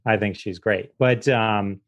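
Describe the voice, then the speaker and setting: A man from Michigan, a comedian guest chatting casually in a halting, wry way. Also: lowish voice, steady tone, dull audio. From Michigan, United States. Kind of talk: casual interview chat.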